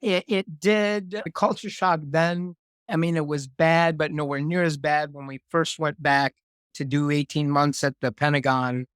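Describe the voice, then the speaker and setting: A man in his fifties, born in Germany, talking casually as an interview guest with a relaxed, reflective manner. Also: on the high side, a little slow, smooth, dry recording, clean studio sound. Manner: relaxed, reflective, with restarts and fillers.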